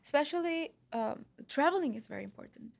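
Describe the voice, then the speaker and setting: Female singer from Lebanon as a guest, casual and halting, with a raspy, fry-edged tone. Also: high-pitched, expressive. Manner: halting, repeats phrases, many fillers. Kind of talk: casual interview chat.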